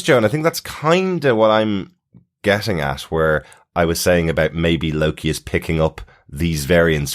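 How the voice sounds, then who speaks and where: A man hosting a podcast, chatting casually and explaining his take on TV and listener feedback. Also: extremely low pitch. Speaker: podcast host. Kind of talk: casual TV review chat, reading listener feedback.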